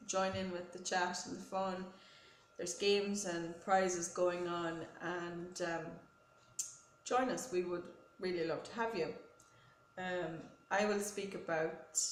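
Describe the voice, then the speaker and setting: A woman hosting a knitting podcast with a head cold, talking in a friendly, rambling show-and-tell way with many ums and self-corrections. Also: lowish voice. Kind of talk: casual chatty show-and-tell. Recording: roomy echo with faint noise.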